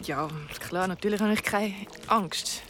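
A woman playing a frightened young female ghost in a radio play, breathless and halting, her bravado cracking. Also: medium pitch, noisy background, lightly acted. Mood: uneasy. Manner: breathless, halting, repeating herself.

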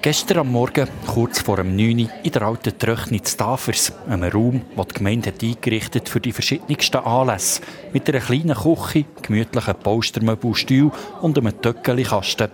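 A man's voice: mid-range voice, a little fast. A man with a Swiss German accent narrating a scripted radio report as a reporter.

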